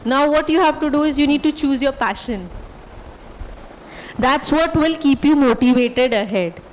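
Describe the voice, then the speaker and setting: A woman panelist giving a student steady, reassuring advice. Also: high voice, moderate pace, big-room sound, slight background noise, poor audio.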